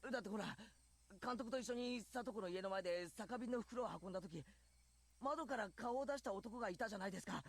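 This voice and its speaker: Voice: mid-range voice, rapid-fire, dry recording, natural voice. An actor playing an anxious, insistent teenage schoolboy in a Japanese visual novel. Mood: disbelieving.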